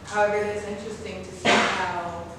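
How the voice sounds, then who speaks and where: A woman's voice: medium pitch, fairly even intonation, a little fast. A woman actor from Georgia, reflecting casually in a group discussion. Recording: very echoey room, distant noisy mic.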